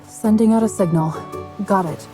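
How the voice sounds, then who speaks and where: A woman acting as a young female hunter in a video game, capable and no-nonsense. Her mid-pitched voice is practical and skeptical, ready with a retort. Music plays clearly behind her. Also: normal speed, close to an everyday voice. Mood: focused. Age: young adult.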